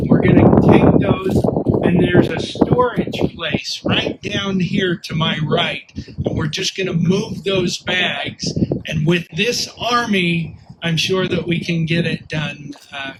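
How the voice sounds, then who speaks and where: A man's voice: sits high, very noisy. A man organizing the event, giving casual, friendly instructions to volunteers, with many fillers.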